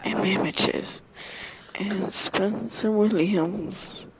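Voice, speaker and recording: A woman speaks slowly in a middling pitch on a slightly noisy homemade recording. She rambles in a silly, repetitive way, leaving odd pauses as she records a podcast bumper. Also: steady tone. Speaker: listener who sent in a podcast bumper.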